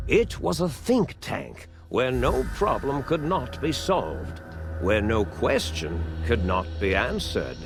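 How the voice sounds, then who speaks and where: A man narrating a video game cinematic as a grave storyteller, speaking solemnly and deliberately with weighty pauses. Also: medium pitch, gravelly, exaggerated voice. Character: grave storyteller recounting a post-war science legend. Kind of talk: scripted narration, measured storytelling. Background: music behind the voice.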